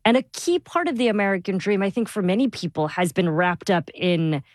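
A woman host guides an interview with measured, probing questions. Her voice sits at a middle pitch for a woman. The sound is close and dry, with no room around it. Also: animated, no room sound.

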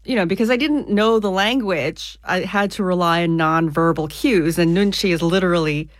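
A woman from the United States, an author being interviewed on the radio, explaining ideas clearly with everyday examples. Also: fairly low pitch, moderate pace, dry recording.

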